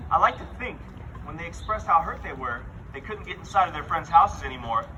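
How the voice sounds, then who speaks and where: A man giving an earnest, measured prepared speech at a rally outdoors. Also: fairly high pitch, recorded from a distance, noisy background. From Worthington. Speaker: speaker at a justice rally.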